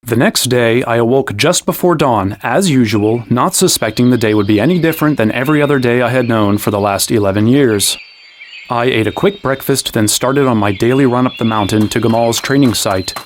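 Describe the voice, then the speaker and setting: A man's voice: medium pitch, fairly quick, slight background noise, close to an everyday voice. An actor playing a young man who narrates his own story in scripted first person. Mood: measured narrating.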